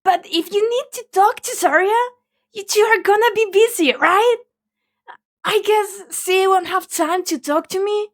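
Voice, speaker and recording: Excitable young girl for a game fan dub, played by a woman: tiny high voice, informal. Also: unhurried. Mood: slightly sulky.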